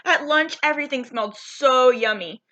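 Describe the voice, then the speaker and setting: A woman's voice: high-pitched, moderate pace, pushed and bright, a little hiss or hum. A woman narrating a children's storybook aloud, telling it expressively and putting questions to her young listeners.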